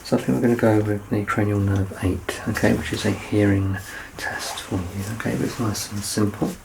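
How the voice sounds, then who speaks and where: A man in his thirties doing an ASMR medical exam roleplay as a doctor, soft-spoken, reassuring and explanatory. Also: fairly low pitch, little pitch movement, moderate pace, gravelly, slight background noise, low-quality recording. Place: small curtained indoor room.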